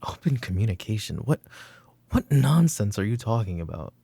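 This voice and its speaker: Man playing a gravelly, low-voiced mafia boss father. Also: very animated, normal speed, dry recording, heavily acted. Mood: stern, weary. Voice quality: gravelly, breathy, husky. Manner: commanding, curt, slipping into hushed muttering. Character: weary, short-tempered mafia boss father, possessive and proud. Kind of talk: roleplay ASMR audio drama acting. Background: background music.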